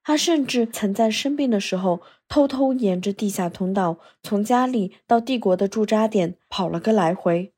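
A woman's voice: medium pitch, normal speed, few pauses, dark tone, breathy edge. A woman hosting a podcast, reading scripted narration. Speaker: podcast host. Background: film score music.

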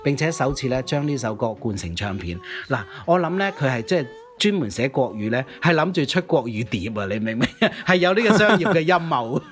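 A man's voice: mid-range voice, very animated, fairly quick, hardly any pauses. A man with a Cantonese accent co-hosting a music history show in a conversational, explanatory way, often checking in with questions. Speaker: radio DJ and co-host.

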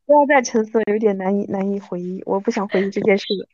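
A woman in her thirties chatting casually and reminiscing as a podcast guest, animated and self-mocking. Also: mid-range voice, fast, dry recording, muffled recording. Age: 30s.

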